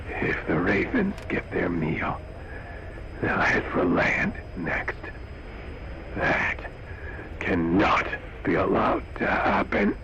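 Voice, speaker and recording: An actor playing an infected male scientist who speaks slowly and gravely, on a game audio tape. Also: lowish voice. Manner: slow, grave, halting. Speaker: infected man giving warning. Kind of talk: game audio log acting. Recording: muffled noisy cassette tape.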